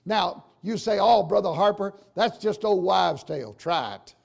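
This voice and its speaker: An older man with a gravelly, raspy voice, preaching a folksy, emphatic sermon to his congregation. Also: high voice, animated, unhurried. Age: older, 60s or 70s. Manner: emphatic, folksy, addressing listeners directly with questions. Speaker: preacher, church bishop. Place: church sanctuary, at the pulpit.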